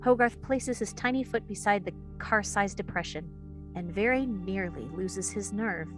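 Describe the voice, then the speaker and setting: A woman's voice: medium pitch, normal speed, music in the background. A woman reading stage directions as the narrator of a screenplay table read.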